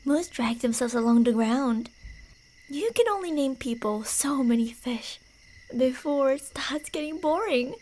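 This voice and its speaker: High, sing-song yandere stalker. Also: female voice, animated, unhurried, darkish tone. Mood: mocking glee. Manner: sing-song teasing, slipping into breathy whispers. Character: delusional, playfully menacing female yandere serial killer stalker. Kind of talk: ASMR roleplay.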